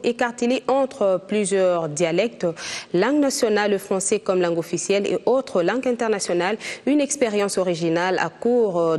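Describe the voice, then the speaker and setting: A woman anchoring a television news bulletin, reading in a measured way with the odd hesitation. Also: mid-range voice, a little mellow.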